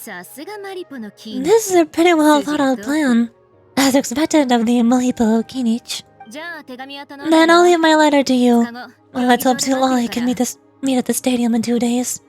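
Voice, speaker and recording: A woman's voice: high voice, a little slow, pauses often, a little mellow, faint music behind. A woman voicing an eager, friendly companion in a fantasy game, reading the dialogue expressively. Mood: upbeat. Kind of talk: game dialogue read aloud.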